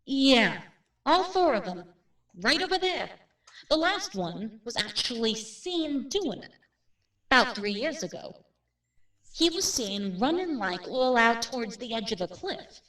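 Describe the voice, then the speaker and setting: A woman playing a brisk, matter-of-fact real estate agent in a radio drama, plain-spoken and without superstition. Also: a little high, very animated, fairly bright tone, recorded from a distance. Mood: matter-of-fact, grim. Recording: roomy, off-mic drama recording.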